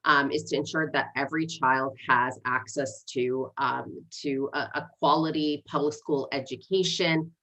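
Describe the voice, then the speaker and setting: A woman's voice: very deep voice, fairly even intonation, a little slow, hardly any pauses, pushed and bright, poor audio. A woman in her forties who learned English as a second language, introducing herself earnestly as a candidate in a livestream interview. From born in Egypt, raised in Canada and the United States. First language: not English, learned it as a second language. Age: 40s. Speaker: city council candidate.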